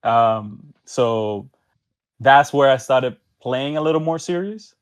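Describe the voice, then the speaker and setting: A man's voice: medium pitch, expressive, takes it slowly, smooth. A man from Venezuela and Miami, a podcast guest telling stories in casual slang and acting out bits of dialogue. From Venezuela, later Miami. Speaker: podcast guest, tournament organizer. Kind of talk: casual storytelling chat.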